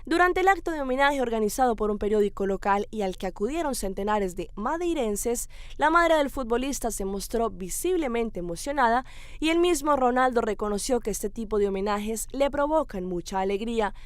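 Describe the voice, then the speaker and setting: Female news presenter, high-pitched voice, dry close sound, reading scripted items. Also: animated, fairly quick, no echo. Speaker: news presenter and street reporter.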